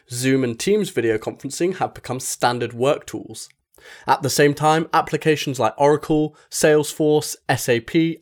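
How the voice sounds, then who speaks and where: A man reading a magazine article aloud as scripted narration. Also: mid-range voice. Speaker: article reader.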